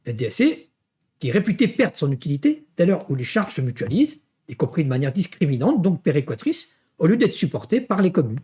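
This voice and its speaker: A man's voice: fairly high pitch, very animated, brisk, dark tone. A man giving an explanatory lecture, didactic, often checking in and posing rhetorical questions as he walks through his material. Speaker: university lecturer. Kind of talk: explanatory finance lecture walking through slides.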